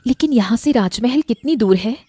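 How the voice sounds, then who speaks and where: Woman as a worried, high, soft-toned mother. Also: animated, normal speed, breathy edge, faint music behind. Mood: anxious, pleading. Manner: pleading, earnest. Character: worried, polite stranded mother pleading for help. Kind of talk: storybook audio drama.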